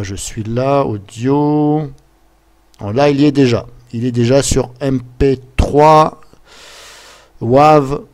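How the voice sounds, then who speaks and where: A man's voice: medium pitch, darkish tone. A man gives a casual, improvised screen-share tutorial, hesitant and rambling as he goes step by step into his computer microphone. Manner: rambling, hesitant, step-by-step, fond of filler words. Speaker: software tutorial presenter.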